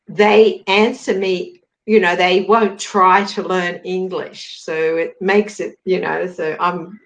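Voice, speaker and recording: Patient woman tutoring slowly. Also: lowish voice, poor audio. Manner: patient, rephrases questions. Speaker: English tutor. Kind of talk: online language lesson conversation.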